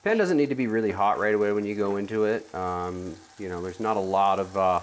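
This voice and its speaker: Relaxed chef explaining a recipe. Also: male voice, lowish voice, a little fast. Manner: relaxed, explanatory, many ums. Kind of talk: cooking demonstration. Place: large home kitchen, indoors.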